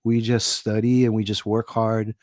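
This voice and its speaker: A man's voice: fairly low pitch, little pitch movement, moderate pace, a little mellow, no room sound, studio quality. A middle-aged man from Hawaii, an author guesting on a podcast, talking in a thoughtful, rambling way with many fillers. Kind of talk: casual podcast conversation.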